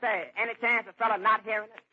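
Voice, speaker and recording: An actor playing a sardonic, wisecracking tavern waiter in an old-time radio comedy, husky and teasing. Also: male voice, sits high, steady tone, quick pace, heavy background noise, lightly acted. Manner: dry, teasing comebacks. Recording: dull old radio recording.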